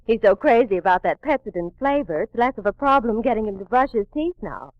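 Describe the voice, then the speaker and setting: An actress playing a cheerful, satisfied housewife giving a testimonial in a 1940s radio advertisement. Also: female voice, fairly high pitch, expressive, a little fast, no echo, close to an everyday voice. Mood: pleased. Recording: muffled, dry 1940s radio recording.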